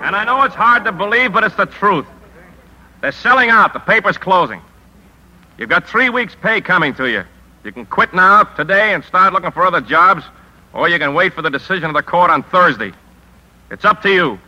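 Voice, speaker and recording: An actor playing a middle-aged, hard-driving, cynical newspaper editor in a 1950s radio drama, with a punchy, world-weary delivery. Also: male voice, high voice, lively intonation, moderate pace, pushed and bright, heavily acted, low-quality recording. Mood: grim, forceful.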